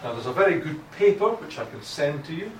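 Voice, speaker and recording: A man's voice: fairly high pitch, sing-song, fairly quick. Scottish male lecturer, blunt, informal, lots of restarts; distant mic, room echo. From Scotland. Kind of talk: academic writing lecture. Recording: echoey room from far off, noisy.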